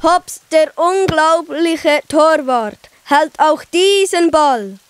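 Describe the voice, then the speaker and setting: A woman's voice: sits high, very slow, no room sound. A woman playing an earnest young boy in a children's audio play. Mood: excited. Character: Hops, young boy child character, conscientious and encouraging.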